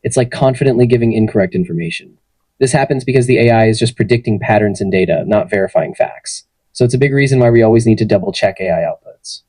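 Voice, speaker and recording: A synthetic male assistant voice, slightly low and a little husky. He talks fast in a friendly, even, polished way. The sound is dry and close. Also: no room sound, rough recording. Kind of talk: conversational answers to interview questions.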